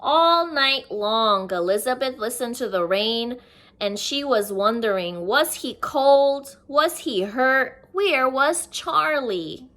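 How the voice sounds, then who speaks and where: A woman's voice: fairly high pitch, lively intonation, takes it slowly, fairly bright tone. A woman reading a picture book aloud to young children, expressively and with simple explaining asides. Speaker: children's story reader.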